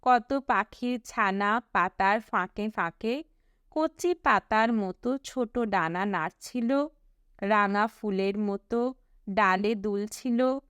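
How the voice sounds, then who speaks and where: A woman reading a storybook as an audiobook narrator, with a measured, deliberate storytelling delivery. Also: on the high side, fairly bright tone, clear, resonant tone, no echo, clean studio sound.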